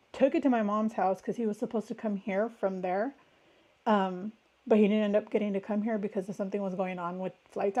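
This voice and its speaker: A woman's voice: medium pitch, dark tone. A woman hosting a casual livestream, chatting with viewers in a rambling way while congested from a sinus illness. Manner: chatty, rambling, greets viewers mid-sentence. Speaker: livestream host. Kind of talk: casual chat with live viewers.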